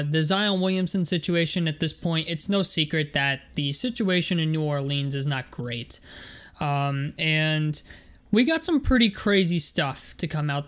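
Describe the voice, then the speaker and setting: Casual man with a rough, strained voice. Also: fairly high pitch, fairly bright tone. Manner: rambling, repeats words, many fillers. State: voice rough from medication. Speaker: sports vlogger. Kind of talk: casual basketball commentary vlog.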